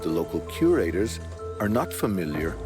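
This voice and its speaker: A man narrates a documentary in a measured, earnest way, his voice sitting a little low. Clear music runs beneath his voice-over. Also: big pitch swings.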